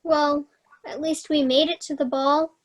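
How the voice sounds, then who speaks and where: A woman's voice: sits high, steady tone, measured pace. A woman playing a dreamy, romantic young heroine in a staged reading, earnest and eager. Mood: wistful. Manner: earnest, wistful. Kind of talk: staged reading of children's theater.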